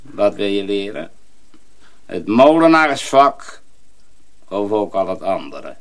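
Sinister, commanding mill master for radio drama; slow delivery, curt phrasing, animated pitch. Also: male voice. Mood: quiet menace.

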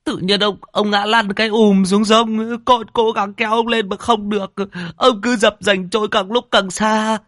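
A man's voice: high-pitched, little pitch movement, moderate pace, hardly any pauses, a bit bright, no echo, studio quality, voice pushed up. Man doing a terrified young servant. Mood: panicked. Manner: panicked, stammering. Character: frightened young male servant.

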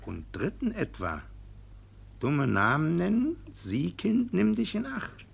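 An actor playing a scheming, flustered village judge in a 1950s German radio play, stammering through evasions and barking orders. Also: male voice, high voice, huge pitch swings, slow, vocal fry, breathy edge, heavily acted. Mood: coaxing, threatening. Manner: stammering evasions, bossy outbursts. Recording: vintage 1950s radio recording.

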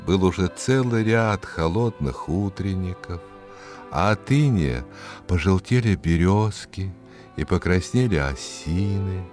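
Deep, slow male storyteller. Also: expressive, a little mellow. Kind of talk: expressive scripted fairy-tale narration. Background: music.

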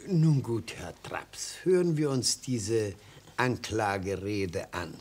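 An actor playing a fussy, reassuring old defence lawyer in a radio play, avuncular and deliberate, with a husky, creaky voice. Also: male voice, lowish voice. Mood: cautioning, hushed. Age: older. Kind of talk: German radio play acting.

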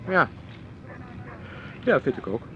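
A man's voice: medium pitch, sing-song, slow, highs cut off, a little hiss or hum. An actor playing an impatient, grumbling man who likes to tease, in a naturalistic radio play. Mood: matter-of-fact. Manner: brusque, exclamatory, teasing. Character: impatient, grumbling, teasing man on a park outing.

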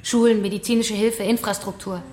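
An actress playing a vengeful kidnapper in a radio crime drama, composed and taunting, speaking deliberately. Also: female voice, medium pitch, steady tone, mellow, a little hiss or hum, natural voice. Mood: bitter, reflective. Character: vengeful female kidnapper, controlled, taunting, bitterly righteous. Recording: echoey room with music behind.

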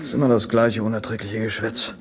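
An actor playing a disillusioned, irritable environmental activist, argumentative and scornful, in a science-fiction radio drama. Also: male voice, lowish voice, fairly even intonation, fairly quick, crowd noise, natural voice. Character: irritable, disillusioned eco-activist living in cramped cabin. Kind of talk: 1970s radio drama dialogue.

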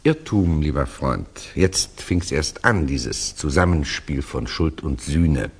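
Deep, husky, knowing storyteller. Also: male voice, lively intonation, heavily acted. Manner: deliberate, ruminative, gently correcting. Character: knowing male storyteller, reflective and patiently explaining. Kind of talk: conversational narration in a German radio play.

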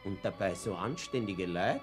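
A man's voice: sits low, expressive, moderate pace, faint music behind. An actor playing an anxious, blustering working father with a Viennese accent in a colloquial, naturalistic radio play. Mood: shocked, troubled. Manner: colloquial, exclamatory, dialect-tinged.

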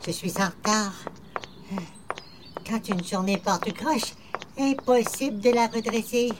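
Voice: mid-range voice, unhurried, background noise, close to an everyday voice. Personne jouant un dévot malchanceux, plaintif et formel. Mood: flustered, complaining. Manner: formal, plaintive, theatrical. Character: hapless, weary, pious churchgoer with formal old-fashioned speech. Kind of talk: old-time radio comedy acting.

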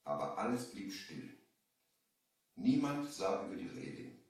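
An echoing old vinyl radio play recording, set back from the mic. a young merchant telling his sea adventure in a lowish voice. His storytelling is measured and dramatic. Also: very animated, a little mellow, recorded from a distance. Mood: grave suspense. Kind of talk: 1970s German children's radio play narration.

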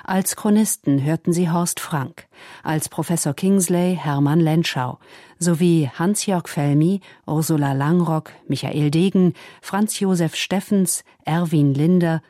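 A female radio announcer with a low, mellow voice. She reads scripted credits slowly and formally, in neutral 1960s broadcast style. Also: no room sound, clean studio sound.

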